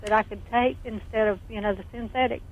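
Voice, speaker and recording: High-voiced woman caller, dull line. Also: fairly even intonation, fast. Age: 50s. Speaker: caller to a health show. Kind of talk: casual medical question. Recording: dull lo-fi phone call.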